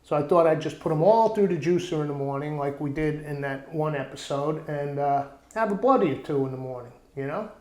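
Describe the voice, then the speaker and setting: A man's voice: fairly high pitch, brisk, hardly any pauses, darkish tone, echoey room. Chatty, rambling man with a raised voice. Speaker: cooking show host. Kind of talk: casual vlog chat. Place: outdoor backyard kitchen.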